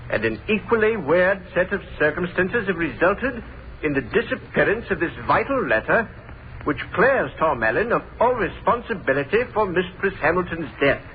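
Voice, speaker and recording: High-pitched, earnest defence lawyer. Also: male voice. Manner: formal, emphatic, oratorical. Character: earnest, pleading defence lawyer addressing a jury. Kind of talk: 1930s radio acting, courtroom oratory. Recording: muffled, hissy 1930s radio recording.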